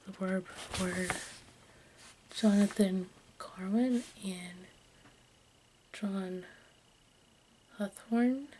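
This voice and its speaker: A woman reading aloud in ASMR style in a soft, breathy whisper and hushed speech, halting as she goes. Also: medium pitch, poor audio. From United States. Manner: halting, stumbles over names and words. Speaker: ASMR video creator. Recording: faint background noise.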